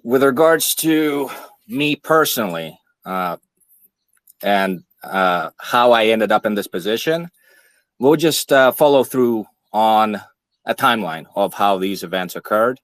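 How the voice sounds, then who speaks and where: A man in his thirties, born in Ukraine, telling his own story in a measured, halting way, with frequent fillers. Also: lowish voice, animated, fairly bright tone, dry recording. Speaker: podcast speaker. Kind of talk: monologue, personal account.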